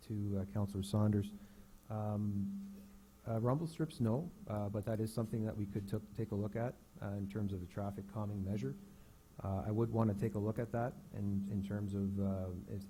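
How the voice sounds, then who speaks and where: A town staff member, a man, answering council questions in a halting way with many restarts. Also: fairly low pitch, dark tone, a little hiss or hum.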